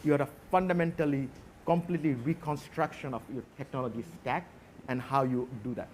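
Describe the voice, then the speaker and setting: A man's voice: mid-range voice, brisk, a little mellow. Bangladeshi-accented man on a noisy panel. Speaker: conference panelist. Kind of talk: reflective, anecdotal panel talk.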